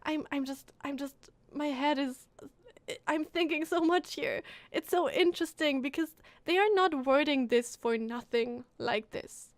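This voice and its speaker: A woman giving casual live commentary as she reacts to a game story, expressive and musing aloud. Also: high-pitched, unhurried, no room sound. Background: game music.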